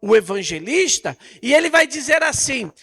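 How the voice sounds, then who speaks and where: A man's voice: extremely high pitch, very animated, normal speed, bright, forceful tone, husky. A Brazilian man preaching a Bible lesson in an emphatic, didactic way, repeating phrases and posing rhetorical questions. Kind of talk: Bible teaching sermon.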